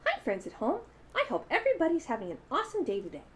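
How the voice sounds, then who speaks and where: A woman's voice: high voice, wildly swooping pitch, fast, a little hiss or hum, voice pushed up. A woman voicing a cheerful, friendly, childlike bird puppet, chatting earnestly to children at home.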